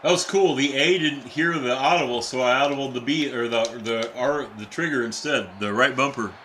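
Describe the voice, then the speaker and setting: A young adult man, a teacher by day, giving casual, excitable live-stream commentary while playing a football video game and chatting with viewers. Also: mid-range voice, fairly quick, a little hiss or hum, rough recording. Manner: excitable, chatty, reacts to plays and reads chat aloud.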